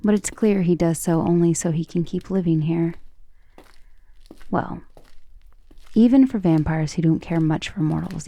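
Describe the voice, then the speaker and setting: A woman voicing an ancient, tender and protective vampire lover in an intimate, breathy romantic ASMR roleplay, with genteel wording and a vulnerable air. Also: deep voice, mellow. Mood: measured, uneasy. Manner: intimate, breathy half-whispers with sighs.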